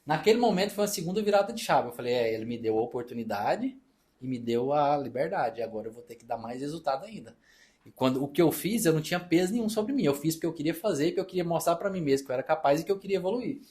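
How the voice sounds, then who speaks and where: A man's voice: fairly high pitch, expressive, darkish tone. A man from Brazil telling stories in a casual podcast chat, acting out the dialogue as he goes. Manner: fast-talking, storytelling, reenacts dialogue. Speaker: accountant and business partner.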